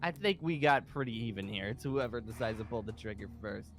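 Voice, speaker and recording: A man playing a pompous, commanding colonel of a survivor base in an improvised Minecraft zombie roleplay, with halting, theatrical delivery. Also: medium pitch, expressive, soft background music, lightly acted. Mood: threatening.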